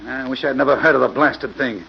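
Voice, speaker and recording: A man playing a grumpy, impatient old construction engineer with a raspy voice in an old-time radio drama. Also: medium pitch, a little fast, a little hiss or hum, lightly acted. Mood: bitter, defensive. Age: older. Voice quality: raspy, creaky. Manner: brusque, irritable, stammering slightly. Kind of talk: 1940s radio acting. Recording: muffled old radio recording.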